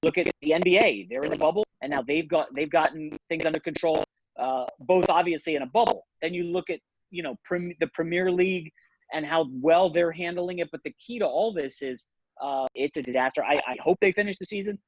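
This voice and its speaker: Higher-pitched man talking sports, slightly dull audio. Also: a little fast, dry recording. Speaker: sports betting commentator, interview guest. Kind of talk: casual sports talk, opinionated analysis.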